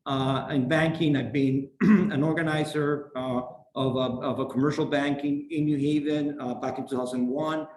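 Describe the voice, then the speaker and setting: A middle-aged man from Venezuela, giving a halting orientation talk over a video call, full of fillers and restarts. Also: a little high, steady tone, darkish tone. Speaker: program founder. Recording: roomy echo, faint noise.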